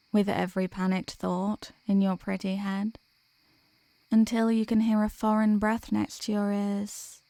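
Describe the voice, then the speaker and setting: A woman's voice: medium pitch, creaky, dark tone, no room sound. A woman playing a teasing sleep paralysis demon who can be menacing or apologetic and tender, in an intimate ASMR audio roleplay. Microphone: close microphone, dry.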